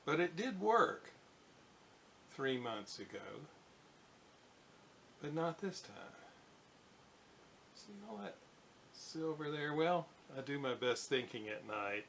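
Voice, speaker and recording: Slow-talking man at his workbench. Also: a little high, lively intonation, creaky, a bit bright, a little hiss or hum. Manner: unhurried, thinking aloud with fillers. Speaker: radio restoration hobbyist. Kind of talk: casual workbench demonstration. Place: home electronics workbench.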